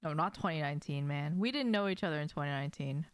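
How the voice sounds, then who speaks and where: A young woman with a slightly low voice talks casually as she streams a game from a room at home. She speaks in short, slangy reactions, as in an informal chat with viewers. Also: quick pace. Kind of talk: casual livestream chat while gaming. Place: small indoor room at home.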